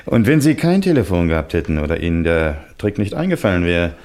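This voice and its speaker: A man's voice: sits low, big pitch swings, quick pace, darkish tone. An actor playing a deferential, puzzled policeman in a 1960s radio drama, asking questions in a hesitant, inquisitive manner. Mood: deferential, curious. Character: deferential, puzzled male police officer, questioning his boss.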